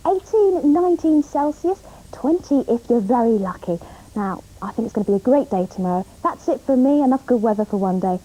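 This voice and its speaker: A woman's voice: a little high, expressive, fairly quick, dark tone, rough recording. A British woman giving a chatty regional weather forecast in a cheerful, breezy manner.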